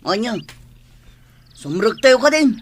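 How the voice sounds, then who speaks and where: Very high jittery henchman. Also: very animated, slow, exaggerated voice. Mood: excited, panicky. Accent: Ilocano. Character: jittery, deferential male henchman of a gang boss. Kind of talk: Ilocano comedy radio drama acting.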